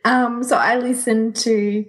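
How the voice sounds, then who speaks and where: A woman co-hosting a podcast in casual chat, informal and giggly, quick to react as she gives recommendations. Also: a little high, level tone. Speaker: podcast co-host.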